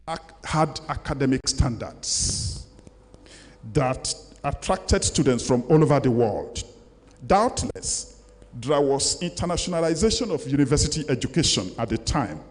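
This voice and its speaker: Older Nigerian man lecturing in an echoey hall. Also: on the high side. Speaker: guest lecturer. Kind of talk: formal public lecture, reading and commenting. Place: large lecture hall, indoors. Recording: echoey hall with long reverb.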